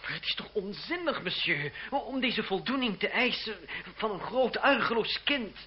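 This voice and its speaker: A man's voice: high voice, animated, moderate pace. A muffled old radio play recording carries a worried young lawyer. He sounds urgent and pleading, a protective friend in a period drama. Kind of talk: Dutch radio drama acting.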